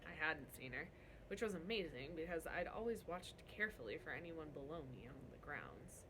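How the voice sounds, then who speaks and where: A woman reading a novel aloud as a first-person narrator, in an expressive storytelling style. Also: low voice, normal speed, background noise. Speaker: book reader.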